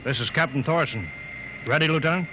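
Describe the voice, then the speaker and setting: A man's voice: fairly high pitch, brisk, lightly acted. An actor playing a brusque male rocket captain barking orders in a radio drama. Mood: curt. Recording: noisy old radio recording.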